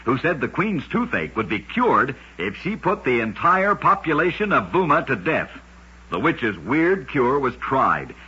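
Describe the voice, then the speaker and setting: A man narrating short scripted stories as host of a vintage radio program, with a husky, gritty voice and a dramatic storyteller's delivery, on an old radio recording. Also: mid-range voice, bright, forceful tone, muffled recording.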